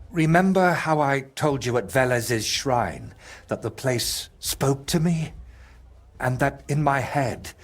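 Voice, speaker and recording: An actor playing a troubled, anxious painter in a video game, speaking haltingly and drifting into mutters. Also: male voice, medium pitch, slightly rough. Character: troubled, haunted male painter, anxious and distracted. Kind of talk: naturalistic video game acting.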